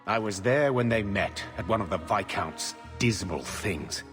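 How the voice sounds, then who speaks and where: A coarse, sentimental older family man in a fantasy game. His lowish voice rings with long reverb, and faint music sits behind his plain-spoken lines. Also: expressive, a little slow, fairly bright tone. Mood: wry reminiscing. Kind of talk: video game drama acting.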